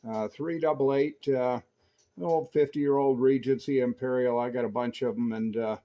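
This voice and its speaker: An American man talks in an easygoing CB radio style, with a dull, band-limited sound. His pitch is middling and he explains things with a hobbyist's enthusiasm. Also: steady tone, moderate pace. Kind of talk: casual CB radio chat.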